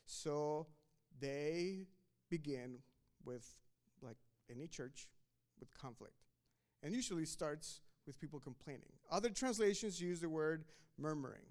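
A man's voice: on the high side, animated, takes it slowly, pauses often. A man from Mexico, preaching a sermon in a conversational way, often restarting and repeating words. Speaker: pastor.

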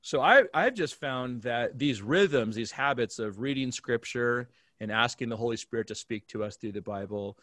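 An American man with a medium-pitched voice talks as a pastor being interviewed. He explains things in an earnest, conversational way and often checks that the listener follows. The sound is dry, with little room in it. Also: moderate pace, a bit bright, no room sound. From Alaska, United States.

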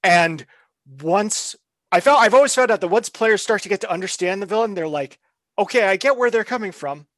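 A man with a slightly higher voice talks on a podcast in a relaxed way. He tells stories from his gaming years and slips into quoted voices. The sound is dry and close, with no room around it. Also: brisk, dry recording. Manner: chatty storyteller, acts out quotes. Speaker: podcast co-host. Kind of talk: casual chat.

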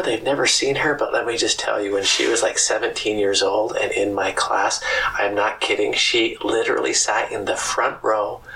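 A man's voice: lowish voice, gravelly, pushed and bright, far from the mic, rough recording. A middle-aged man guesting on a podcast, joking and playful in casual talk. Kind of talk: casual interview.

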